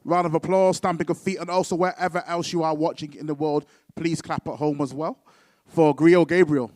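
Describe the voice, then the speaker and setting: A man's voice: on the high side, fairly even intonation, a little fast, a little mellow, no room sound. A man hosting a live poetry event, talking casually and hyping the audience. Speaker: event host.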